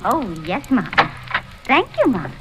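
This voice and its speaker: A woman's voice: sits high, huge pitch swings, slow pace, full character voice. An actress playing a deferential but sly housemaid, wheedling her mistress in an old radio drama. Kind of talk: 1940s radio acting. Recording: faintly hissy old radio recording.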